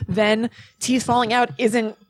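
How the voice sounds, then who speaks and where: A woman's voice: on the high side, flat delivery, unhurried. A woman co-hosting a podcast, explaining things in a casual, informal, musing way with plenty of filler words. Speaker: podcast co-host presenting research.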